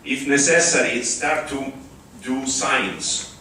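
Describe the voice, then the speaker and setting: An Italian-accented man gives a conference talk in a large hall, slow and halting with frequent fillers and restarts. His voice sits at an ordinary pitch and comes from a distance, with heavy echo and faint room noise behind it. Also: bright, forceful tone. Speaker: conference speaker. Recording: echoey hall, far from the mic.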